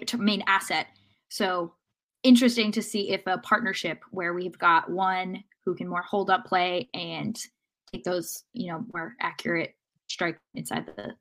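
A woman with a bright, forward voice set a little low for a female speaker. She talks haltingly and casually as a sports podcast panelist. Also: rough recording.

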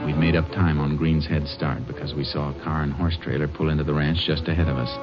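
A man's voice: a bass voice, little pitch movement, quick pace. A man acting a terse, commanding Texas Ranger investigator in clipped, authoritative 1950s radio drama style. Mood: measured narration. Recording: muffled vintage radio recording, music behind.

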